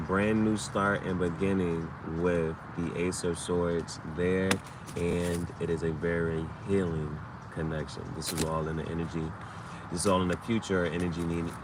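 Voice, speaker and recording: A man hosting a casual tarot livestream, talking in a rambling, digressive way and repeating his phrases. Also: low-pitched. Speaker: tarot reader and host. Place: outdoors under a tree. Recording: distant mic outdoors, loud ambient noise.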